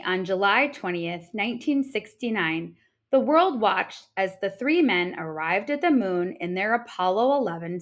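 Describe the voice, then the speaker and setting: A woman reading an informational picture book aloud like a teacher, with deliberate, expressive phrasing for children. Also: medium pitch.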